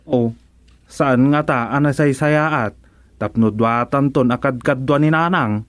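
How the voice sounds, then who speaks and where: A man's voice: mid-range voice, unhurried, a bit bright, faint music behind, lightly acted. An actor playing a flustered comic village errand man in an Ilocano radio comedy drama. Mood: pleading.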